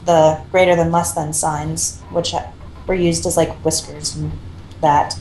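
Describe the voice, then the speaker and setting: A woman's voice: low-pitched, flat delivery, unhurried, warm tone. A woman doing amateur fan fiction narration and casual chat as part of a group reading. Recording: roomy echo, faint background noise.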